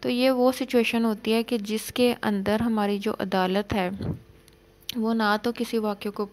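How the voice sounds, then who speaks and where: A woman lecturer explaining law for exam students, reading out legal definitions slowly and then explaining them in plain terms. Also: on the high side, fairly even intonation, a little mellow.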